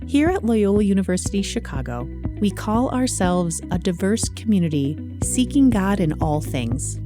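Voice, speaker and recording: A woman reading a scripted show introduction in a measured, polished way. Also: medium pitch. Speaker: show announcer. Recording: intro with music bed. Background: music.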